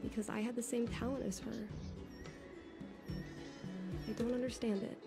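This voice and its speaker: A woman's voice: fairly high pitch, little pitch movement, fast talker, natural voice. A woman voicing an earnest, rueful teenage girl who longs to fly, in a video game. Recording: game dialogue under loud music.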